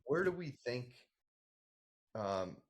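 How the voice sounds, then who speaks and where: A man at a workshop asking a question, halting and repeating words as he goes. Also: medium pitch, sing-song.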